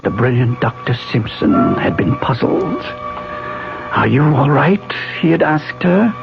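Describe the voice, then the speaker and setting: An actor playing an obsessive, secretive scientist husband in a 1970s radio drama, speaking in a deliberate, controlled, persuasive way. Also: male voice, mid-range voice, big pitch swings, vocal fry, heavily acted. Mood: secretive, brooding. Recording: muffled 1970s radio broadcast, music behind.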